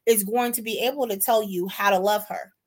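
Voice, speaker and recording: A woman's voice: medium pitch, fairly even intonation, fairly quick. A woman in her forties, self-assured, talking casually about her own dating life. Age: 40s. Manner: self-assured, talks through her own story with many "right?"s. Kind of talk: casual podcast chat.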